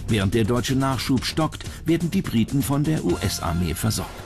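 A man delivers scripted documentary narration in the role of narrator. His pitch sits in the middle range, and music plays clearly underneath him. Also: sing-song, normal speed.

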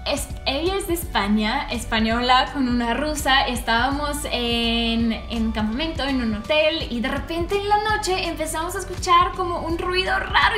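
Young russian woman vlogging, accent, high bright voice, talks with her hands, roomy echo. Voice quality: animated, gestures widely with her hands. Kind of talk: casual Q&A vlog. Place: small room indoors.

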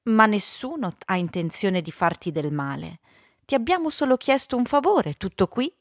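A woman's voice: medium pitch, very animated, moderate pace, no room sound. A protective, determined big tomcat from a storybook, voiced by a woman. He speaks earnestly and urgently, in a dry, close recording. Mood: placating.

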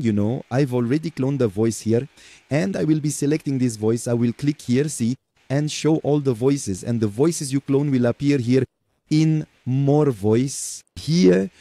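A man with a Portuguese accent presenting a screen-recorded software tutorial, chatty and talking to viewers as folks with lots of okay and right. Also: medium pitch, dry recording.

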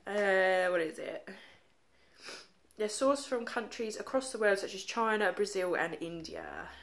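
Slow-talking snuffly woman vlogger. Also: mid-range voice, big pitch swings, a bit bright. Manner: rambling, chatty, lots of ums and likes. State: congested with a cold. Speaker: makeup vlogger. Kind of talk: casual chatty vlog. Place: home living room, indoors. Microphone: phone held at arm's length.

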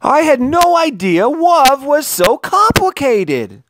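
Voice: high-pitched, sing-song, takes it slowly, background noise, pitched above the performer's own voice, full character voice. A storyteller voicing an earnest, plaintive, lovesick young boy in a children's storybook.

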